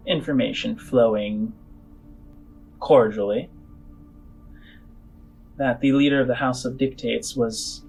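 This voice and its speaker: A man voicing a weary, secretive halfling woman spymaster in a tabletop game, speaking in measured, formal phrases. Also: mid-range voice, darkish tone, poor audio.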